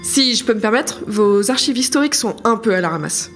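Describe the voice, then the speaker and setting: Woman acting a cheeky, high-voiced adventurer. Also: expressive, fairly quick, natural voice. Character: eager, cheeky female time-travel adventurer. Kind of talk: comic French audio drama acting. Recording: music behind the voice.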